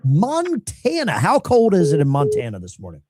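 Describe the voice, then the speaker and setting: A man's voice: a little high, huge pitch swings, darkish tone, no echo, clean studio sound. A man hosting a call-in show in a casual, joking, offhand way. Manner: joking, offhand, quick quips.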